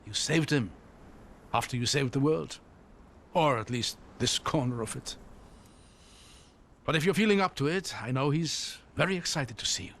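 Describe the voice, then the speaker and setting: An actor playing a composed, caring, gently wry older ally in a video game cutscene, speaking in a measured way. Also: male voice, medium pitch, lively intonation, a little hiss or hum. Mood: gently reassuring.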